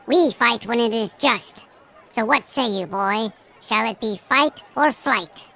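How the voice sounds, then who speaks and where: A sage little elder mayor played by a woman in a fantasy audio drama, with a fairly high voice. The speech is formal, measured and eloquent, with the air of a grandparent. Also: creaky, sharp tone, heavily acted. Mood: resolute.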